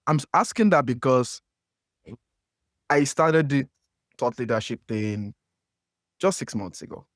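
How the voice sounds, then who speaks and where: A man's voice: medium pitch, lively intonation, unhurried, dry recording, clean studio sound. A man from Nigeria with a Nigerian accent, hosting a podcast interview and asking long questions with many fillers and restarts. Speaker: podcast host. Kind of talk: conversational interview.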